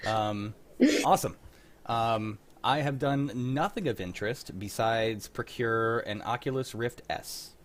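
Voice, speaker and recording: A man's voice: medium pitch, unhurried. A man hosting a casual livestream chat in a wry, teasing way, with some mild swearing. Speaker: host of a livestream.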